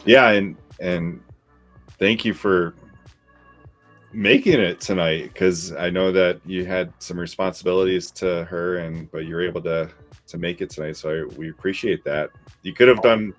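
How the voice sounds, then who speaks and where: A man with a very deep voice hosts a casual podcast, speaking in a relaxed, affirming way. Faint music sits in the background. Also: moderate pace. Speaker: podcast host.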